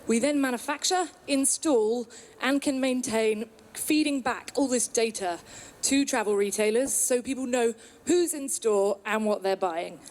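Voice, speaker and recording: A woman's voice: fairly high pitch, darkish tone. British woman giving a conference talk. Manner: upbeat, explanatory, with filler pauses. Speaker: conference speaker. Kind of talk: presentation talk.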